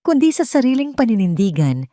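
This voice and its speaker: A woman's voice: fairly high pitch, sing-song, brisk, mellow, no room sound, clean studio sound. A woman reading a scripted dramatic story as its narrator.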